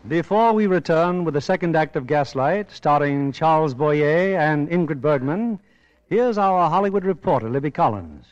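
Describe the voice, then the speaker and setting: A man's voice: fairly high pitch, expressive, normal speed, highs cut off, slight background noise. An American man hosting a 1940s radio show in a polished, formal, scripted announcing style. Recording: band-limited 1940s radio, music behind.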